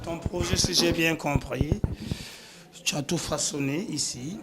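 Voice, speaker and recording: A man's voice: fairly high pitch, unhurried, heavy background noise. A man on a discussion panel who presses with probing questions. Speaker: panel member.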